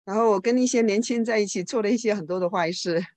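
A woman interpreting a speaker's talk into another language live, quickly and informally, often correcting herself. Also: mid-range voice, darkish tone.

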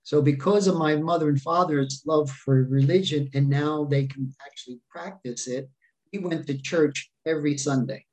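A man in his fifties telling his personal faith testimony in short phrases, pausing for an interpreter. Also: on the high side, low-quality recording. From America. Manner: speaks in short phrases with pauses and fillers. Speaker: guest speaker sharing testimony.

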